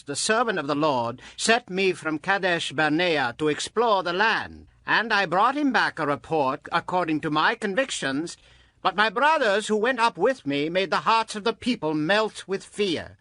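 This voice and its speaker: An actor playing a vigorous, devout 85-year-old warrior, earnest and declamatory, in a dramatized audio Bible. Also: male voice, sits high, sing-song, unhurried, pushed and bright, dry recording, full character voice. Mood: earnest, proud.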